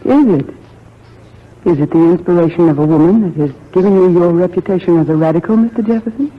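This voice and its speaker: A woman's voice: on the low side, fast talker, close to an everyday voice. An actress playing an earnest, genteel young colonial plantation lady in a 1940s radio drama. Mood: concerned. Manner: genteel, refined phrasing. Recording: tinny narrow-band 1940s radio recording.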